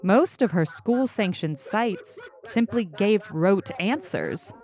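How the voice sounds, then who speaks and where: A woman's voice: medium pitch, expressive, slow pace, a little mellow. A woman narrating a scripted short story in an audiobook style. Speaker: story reader on a fiction podcast.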